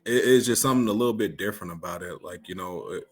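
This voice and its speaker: A man's voice: sits low, fast talker, slightly rough. An American man chatting informally and earnestly as an interview guest. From Hawaii and San Diego, USA. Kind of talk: casual chat.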